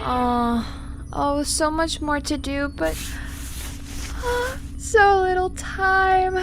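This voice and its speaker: A woman playing a shy, gentle, bookish young scientist in an audio drama, hesitant and politely awkward in her delivery. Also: extremely high pitch, drawn-out words, exaggerated voice. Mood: musing. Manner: hesitant, flustered, polite, wordy. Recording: music under the voice.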